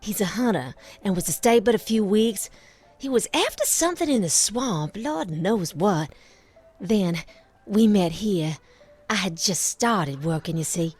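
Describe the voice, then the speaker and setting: A woman's voice: mid-range voice, expressive, unhurried, a little mellow, slightly rough. An actress playing an anxious, sincere young woman in love in a video game, speaking in an earnest, plaintive way. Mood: wistful, confiding. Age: young adult. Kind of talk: video game cutscene acting.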